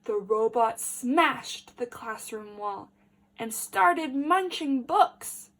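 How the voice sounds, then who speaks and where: High-voiced woman teacher reading slowly. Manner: animated, emphatic, addressing children directly. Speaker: teacher reading a picture book to children. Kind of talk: expressive storybook read-aloud with questions for young children.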